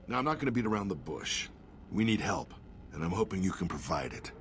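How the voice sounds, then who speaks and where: An actor playing an earnest, plainspoken frontier militia leader in a video game. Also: male voice, low-pitched, big pitch swings, fairly quick, slight background noise.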